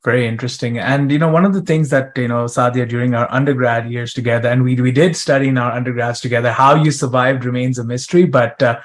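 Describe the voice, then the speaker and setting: A young man from East Africa hosts a conference interview session. He talks in an easy, joking way and his voice sits at an ordinary middle pitch. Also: steady tone, fast talker, few pauses. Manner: conversational, joking. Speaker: host, interviewer.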